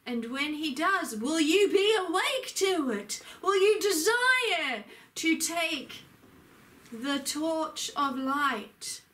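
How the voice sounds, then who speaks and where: A woman preaches a channeled sermon in a high, bright voice. She speaks very slowly and solemnly, drawing each phrase out with long pauses, and declaims like a prophet addressing the faithful. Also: big pitch swings. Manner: solemn, declamatory, pausing often. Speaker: spiritual channeler.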